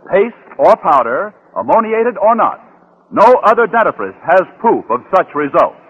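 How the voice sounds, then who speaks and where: A man's voice: fairly high pitch, slightly rough. A man reading a radio commercial as an announcer, with emphatic, declamatory sales delivery in an old-time style. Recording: tinny, narrow old radio recording.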